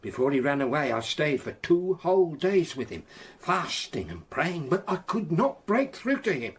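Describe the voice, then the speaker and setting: An actor playing a stern, grey-haired Bible preacher in a period radio drama, solemn, righteous and fervent. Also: male voice, fairly high pitch, big pitch swings, moderate pace, slightly rough, exaggerated voice.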